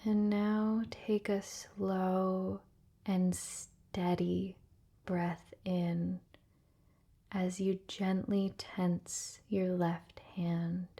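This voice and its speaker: A woman leading a guided relaxation meditation in a soft, breathy, often near-whispered voice, gentle and soothing with long pauses. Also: medium pitch, little pitch movement.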